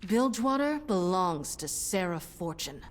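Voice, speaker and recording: An actress playing a fierce, determined pirate captain in a video game cutscene, with a hard, resolute manner. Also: female voice, on the low side, big pitch swings, measured pace, background noise. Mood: vengeful, resolute.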